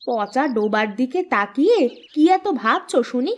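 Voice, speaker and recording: Woman acting nagging village wife, slightly high-voiced. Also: very animated, normal speed. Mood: impatient. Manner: scolding, sharp-tongued. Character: nagging, exasperated village housewife. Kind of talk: Bengali children's cartoon dubbing.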